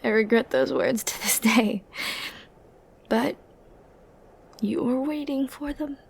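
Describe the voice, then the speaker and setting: Slow, breathy banished villainess, acted by a woman. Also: fairly high pitch, a little mellow, voice pushed down, exaggerated voice. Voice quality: breathy, often near a whisper. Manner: slow, tender, brooding, with dramatic pauses. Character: flirty, dangerous banished female villain, ancient and lovelorn. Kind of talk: intimate ASMR roleplay.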